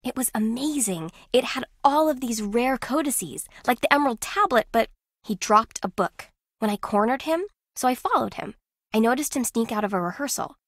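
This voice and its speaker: Aloof, high-voiced secretive woman. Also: animated, moderate pace, no echo, clean studio sound. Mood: cool, dismissive. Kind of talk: video game character acting.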